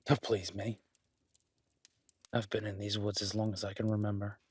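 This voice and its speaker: Protective outdoorsman , played by a man for an intimate audio drama: husky, dry close sound, gently teasing and reassuring. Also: lowish voice, little pitch movement, no echo. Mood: easygoing, warm. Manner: soft-spoken, unhurried, reassuring, wryly joking. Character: gruff-kind woodsman host, gently teasing, protective cabin dweller. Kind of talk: roleplay audio drama, intimate one-sided conversation.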